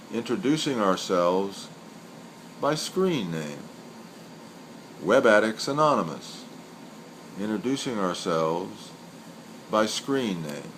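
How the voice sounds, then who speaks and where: A man giving a scripted literary reading of short poems and commentary, reciting in a deliberate, measured way. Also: fairly low pitch, low-quality recording. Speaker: poet reading aloud. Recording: steady background hiss or hum.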